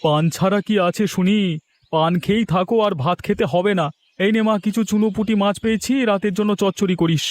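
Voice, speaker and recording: A man voicing a patient, kindly poor village father who fishes, speaking earnestly in an animated story. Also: sits high, fast, natural voice. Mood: fond, weary.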